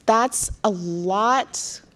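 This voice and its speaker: A middle-aged woman hosting a live interview, asking measured questions with many "uh" fillers. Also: medium pitch, a bit bright. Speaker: interviewer, fashion critic.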